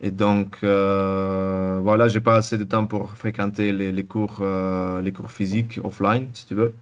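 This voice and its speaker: A young man with a Russian accent, speaking French as a second language. His low voice moves in halting bursts, full of restarts and repeated words, as he answers in a relaxed interview. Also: highs cut off. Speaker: interviewee, former student. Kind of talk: casual testimonial interview.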